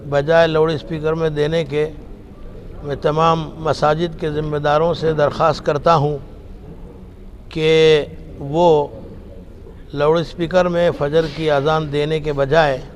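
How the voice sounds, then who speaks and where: Guy giving a formal announcement, really slow and halting, bit of noise behind him. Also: a little high. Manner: measured, halting, in short phrases. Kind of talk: formal public announcement after a meeting.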